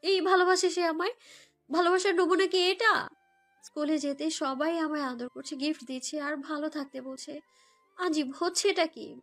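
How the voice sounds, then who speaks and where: A woman's voice: high-pitched, moderate pace, fairly bright tone. A young woman narrates a romantic story in the first person, in an emotive, girlish way, as if living it. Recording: background music under voice.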